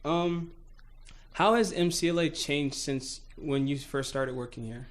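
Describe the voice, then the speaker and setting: A man's voice: fairly high pitch, a little slow. A young man, a student podcast host, asking a guest questions with short, encouraging interjections. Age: young adult. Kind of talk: casual interview.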